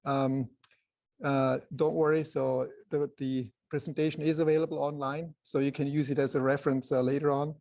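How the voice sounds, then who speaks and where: A soft-voiced man with a Swiss accent presenting an informal photography lecture to a group, in halting phrases with many pauses. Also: medium pitch, flat delivery, vocal fry. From Switzerland.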